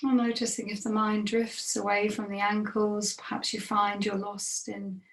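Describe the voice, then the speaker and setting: A woman meditation teacher leading a guided body scan in a gentle, unhurried manner with long pauses. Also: mid-range voice, monotone. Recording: roomy, echoing sound.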